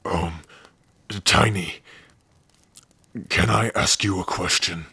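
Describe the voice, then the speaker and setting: A man voicing a big, gruff but tender orc warrior in an ASMR roleplay, shy and devoted, speaking haltingly and intimately close to the mic. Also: dry recording, full character voice. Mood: bashful, tender. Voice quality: husky, breathy low voice. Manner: slow, halting, softly intimate. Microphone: close dry microphone.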